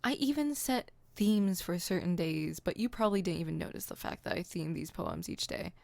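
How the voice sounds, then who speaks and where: Creaky, haughty supervillainess, acted by a woman. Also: on the low side, lively intonation, normal speed, darkish tone, no echo. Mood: defensive, explaining. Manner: haughty, dramatic, stammering when flustered. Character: flustered theatrical female supervillain with a poetic streak. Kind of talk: ASMR roleplay acting.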